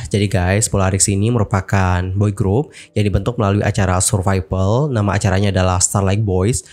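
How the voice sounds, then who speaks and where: Indonesian-accented man in his 20s, low mellow voice, chatty celebrity news vlogger. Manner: chatty, addresses viewers as guys. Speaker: K-pop news YouTuber. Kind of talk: casual news vlog narration.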